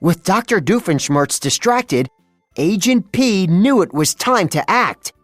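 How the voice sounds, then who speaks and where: A narrator reading a children's story aloud in a scripted, dramatic and deliberate way. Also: medium pitch, lively intonation, dry recording, studio quality, soft background music.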